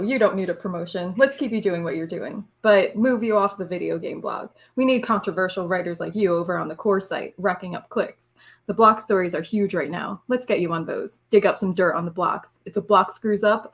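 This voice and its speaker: A woman's voice: fairly low pitch, brisk, lightly acted. A woman voicing a smug, lecherous male boss with a pompous, dismissive manner in an amateur dramatic reading. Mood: dismissive, breezy.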